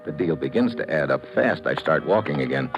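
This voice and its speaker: An actor playing a tough, wry American investigator in clipped, slangy mid-century radio detective style. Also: male voice, extremely low pitch, lively intonation, a little fast, muffled recording. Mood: tense narrating. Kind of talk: 1940s-50s radio detective acting. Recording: noisy old radio broadcast recording.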